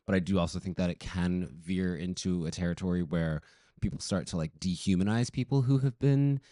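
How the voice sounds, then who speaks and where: A man's voice: low-pitched, fairly quick, dark tone, dry recording, clean studio sound. A man chatting casually as a podcast guest, campy, gossipy and sweary, with a voice coming off a cold. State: getting over a cold.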